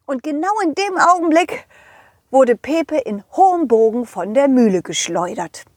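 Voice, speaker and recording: A woman's voice: high voice, dry recording, clean studio sound. A woman voicing a friendly, eager male dog who narrates a children's audio story expressively, speaking directly to the kids. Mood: concerned, storytelling. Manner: expressive, addresses children directly, halting delivery. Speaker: host and narrator.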